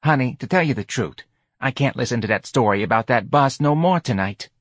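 A man's voice: mid-range voice, expressive, brisk, no room sound, studio quality, pitched above the performer's own voice, lightly acted. A man voicing a tipsy, folksy mother of a grown son, chatting in a bar. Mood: coaxing.

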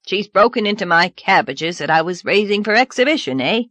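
A woman's voice: medium pitch, animated, fairly bright tone, dry recording. A woman doing a flustered stammering farmer. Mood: nervous sheepish. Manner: nervous, stammering, slow. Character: flustered gruff middle-aged farmer.

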